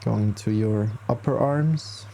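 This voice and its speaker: Slow, mellow man guiding meditation. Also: on the low side, creaky, a little hiss or hum. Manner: soothing, unhurried, gently reassuring, with long pauses. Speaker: yoga nidra meditation guide. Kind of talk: guided relaxation meditation.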